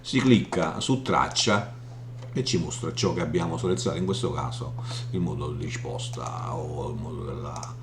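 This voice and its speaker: A man giving an informal software walkthrough, explaining haltingly and often checking in as he goes. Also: a bass voice. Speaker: software tutorial presenter. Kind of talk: informal screen demo walkthrough. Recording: roomy echo, audible background hum noise.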